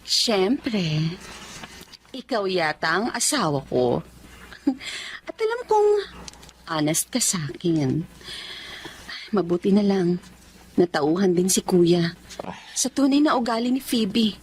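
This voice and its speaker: A woman's voice: mid-range voice, big pitch swings, slow pace, pauses often, a little hiss or hum. A woman playing an emotional, fiercely protective wronged wife in a Filipino radio drama. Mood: tender, trusting.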